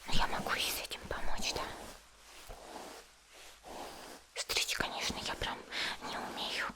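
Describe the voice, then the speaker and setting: A sweet, chatty young anime woman voiced by a woman speaks in a soft, breathy whisper. She is gentle and cooing, taking her time in an intimate ASMR hair-salon roleplay. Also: brisk, close to an everyday voice. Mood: caring, chatty.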